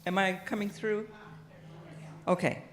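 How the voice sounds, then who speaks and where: A soft-spoken woman minister giving a gentle, thoughtful sermon, pausing often with small fillers, speaking into a microphone in a church sanctuary. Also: mid-range voice, steady tone. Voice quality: quiet voice. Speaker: guest minister. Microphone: house sound system microphone. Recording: long hall reverb.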